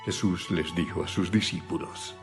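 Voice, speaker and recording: A man reading scripted Bible narration with solemn, deliberate phrasing. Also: low-pitched, fairly even intonation. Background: background music.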